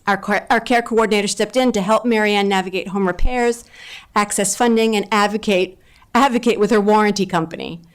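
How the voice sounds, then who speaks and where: A woman from a nonprofit reading prepared testimony to a county council, earnest and measured, with emphatic pauses. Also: mid-range voice. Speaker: nonprofit leader giving public testimony. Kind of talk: prepared testimony read aloud.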